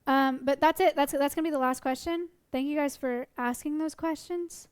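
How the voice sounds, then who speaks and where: A woman in her twenties chatting casually on a livestream, answering questions about sanctuary animals with lots of ums and restarts, while her voice is going hoarse. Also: sits high, steady tone, moderate pace, dry recording. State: losing her voice.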